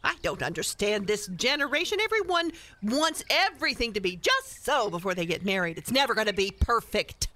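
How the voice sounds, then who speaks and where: Radio play busybody: older, folksy, opinionated, bright and very animated. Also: female voice, medium pitch, brisk, few pauses. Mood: insistent. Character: opinionated, folksy older married woman, meddling neighbor.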